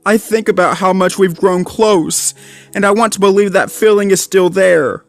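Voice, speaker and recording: A young male dalmatian pup, clumsy and deeply hurt, yelling his anger in a high, strained voice. His voice sits far above a grown man's and cracks with feeling. It is recorded dry, with faint music behind. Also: measured pace, no room sound. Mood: tearful. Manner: shouting through tears, then choked and pleading.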